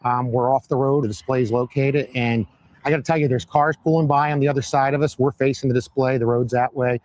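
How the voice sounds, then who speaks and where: A hoarse man hosting a live-stream interview outdoors, upbeat and fond of repeating phrases for emphasis. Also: medium pitch, steady tone, fairly quick, a little mellow, low-quality recording. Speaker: host. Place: outdoors at a Christmas light display by a road.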